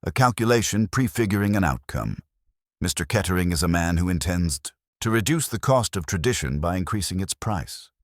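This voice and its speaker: Casting: pompous older master detective, played by a male reader, very low register, formal and commanding, audiobook mystery. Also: lively intonation, a little fast, no room sound, clean studio sound. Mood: pondering, dry. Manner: formal, ornate, commanding wording.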